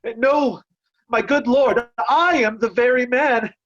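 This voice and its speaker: A woman's voice: fairly high pitch, measured pace, dull audio. A woman playing a loyal, sorrowful nobleman and servant in a Shakespearean play reading. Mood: sorrowful, earnest.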